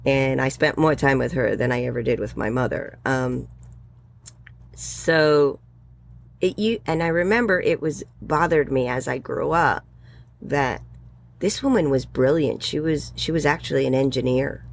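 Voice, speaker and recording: A woman in her sixties, a guest in an interview, telling stories in a rambling, animated way with fillers. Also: a bass voice, unhurried, creaky. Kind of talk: casual interview chat.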